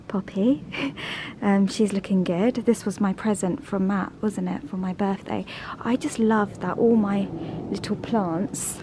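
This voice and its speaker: A woman's voice: medium pitch, mellow, a little hiss or hum. A woman chatting casually and affectionately to her vlog audience. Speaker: vlogger, host of a personal channel.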